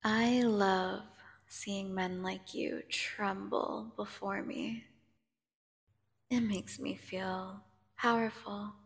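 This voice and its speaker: Woman acting a creaky slow vampire lady, seductive and mocking, bloodthirsty asmr roleplay, big echoey reverb. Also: medium pitch, steady tone. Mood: dominant, taunting. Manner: slow, taunting, condescending, theatrical pauses. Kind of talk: ASMR roleplay audio drama. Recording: long cavernous reverb.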